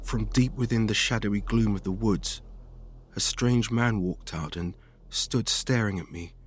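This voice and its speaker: A man reading a first-person horror story as scripted narration, in a measured, dramatic storytelling manner. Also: fairly low pitch, a little hiss or hum. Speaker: guest story reader.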